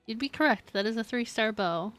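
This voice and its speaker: A woman's voice: a little high, animated, brisk, a bit bright, no room sound, soft background music. A woman chatting casually on a game live stream, rambling and giggly as she talks to viewers.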